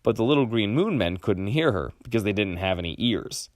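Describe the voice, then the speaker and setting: A man reading a children's picture book aloud expressively, with dramatic pauses. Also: fairly low pitch, lively intonation, no echo, studio quality. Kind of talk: expressive storybook read-aloud.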